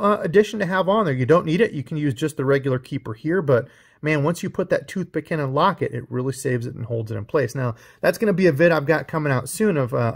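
A man's voice: a little high, gravelly. A man hosting his own fishing channel, chatting casually as he unboxes and compares lures. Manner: chatty, explains gear while handling it. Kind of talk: casual unboxing show-and-tell.

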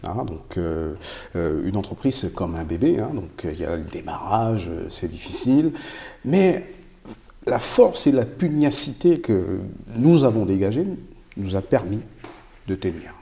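Male CEO guest, relaxed dark tone, very varied intonation, emphatic repetition. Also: deep voice, measured pace, vocal fry. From Africa. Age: middle-aged. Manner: emphatic, repeats key phrases. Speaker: company CEO, interview guest. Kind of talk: explanatory interview answers. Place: indoor showroom with product shelves.

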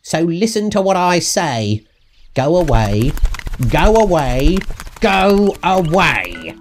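A man's voice: a little high, very animated, slow, a little hiss or hum, voice pushed up, full character voice. A man voicing a bossy, grumpy grey female bird in an emphatic, sing-song storybook rhyme. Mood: grumpy, dismissive.

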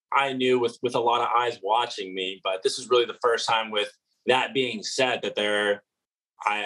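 A man's voice: medium pitch, a bit bright, low-quality recording. A man in his twenties, a reality show contestant, answering interview questions over a video call, earnest with many restarts and fillers. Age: 20s. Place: apartment living room.

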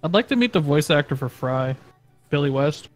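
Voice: mid-range voice, expressive, moderate pace. A voice of unclear sex banters casually, joking and teasing. Faint music plays behind it.

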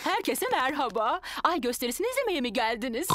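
A woman's voice: sits high, very animated, quick pace, background noise. A woman voicing a chirpy, welcoming cartoon lady rabbit who works as a ticket seller and shopkeeper. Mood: cheerful. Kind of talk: animated cartoon dub acting.

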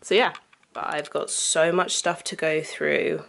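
A woman's voice: low-pitched, steady tone, unhurried, vocal fry, fairly bright tone. A young woman in her twenties chatting casually and self-deprecatingly to the camera in her small bedroom, laughing at herself. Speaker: vlogger. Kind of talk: casual vlog chat. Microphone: camera mic at arm's length.

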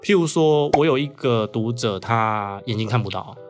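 A man's voice: mid-range voice, expressive, moderate pace. A man from southern Taiwan with a Taiwanese Mandarin accent, chatting casually as an interview guest and telling personal stories. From southern Taiwan (Kaohsiung). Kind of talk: casual interview chat, storytelling. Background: background music.

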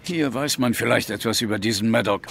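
An actor playing an earnest, dutiful police inspector in a German audio drama, formal and matter-of-fact. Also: male voice, mid-range voice, a little fast, gravelly, a bit bright, slight background noise.

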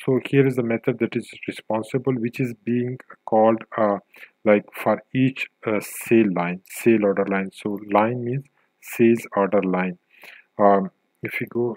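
Low-voiced man narrating a coding tutorial. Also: darkish tone, no echo, rough recording. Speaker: software tutorial instructor.

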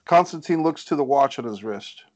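A man's voice: mid-range voice, lively intonation, normal speed, darkish tone, dull audio. A man reading stage directions as scripted narration at a screenplay table read.

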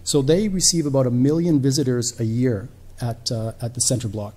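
An older man giving a measured conference presentation with pauses at a podium. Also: medium pitch, steady tone, a little mellow. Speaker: conference speaker. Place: indoor conference hall.

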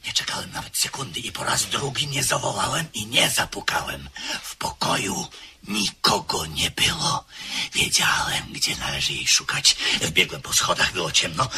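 An actor playing a haunted, frantic widower in a radio play, swinging from hushed whispers to shouts. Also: male voice, lowish voice, slight background noise. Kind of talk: 1970s Polish radio play acting.

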